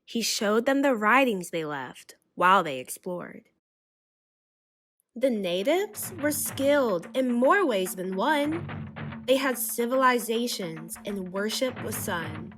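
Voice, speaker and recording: Animated high-voiced woman reading a storybook. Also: slow. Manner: rhythmic, dramatic. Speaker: children's book reader. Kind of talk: expressive scripted storybook narration. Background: music.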